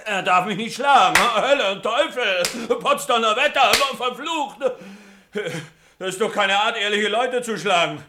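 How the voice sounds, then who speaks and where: A man's voice: sits high, measured pace, noisy background. An actor playing a stubborn, jealous young peasant in a radio comedy, blustering and whining as he protests. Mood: outraged, hurt. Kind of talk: classic comedy radio play acting.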